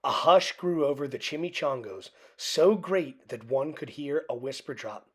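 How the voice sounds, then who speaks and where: A woman reading a children's picture book aloud in an expressive, theatrical way with dramatic pauses. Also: deep voice, breathy edge. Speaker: storybook reader.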